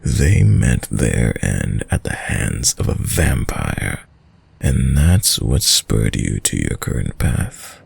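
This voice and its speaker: An extremely low, very breathy male vampire, acted by a man, purrs straight to the listener in an intimate audio roleplay. He is courtly and sadistic, lingering very slowly over formal phrases with silky, mocking dominance and a gravelly rasp. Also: very animated, exaggerated voice. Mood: condescending, amused. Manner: slow, silky, formal, teasing menace with drawn-out pauses.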